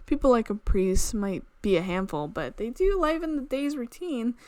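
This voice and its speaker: A woman streamer reading a visual novel aloud in an expressive storytelling way, with asides. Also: a little high, normal speed, dry recording. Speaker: video game streamer. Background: game music.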